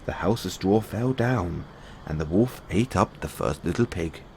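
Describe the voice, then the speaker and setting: A man narrating a bedtime fairy tale as a storyteller, in a simple, measured read-aloud delivery. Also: low voice, expressive, vocal fry, background noise.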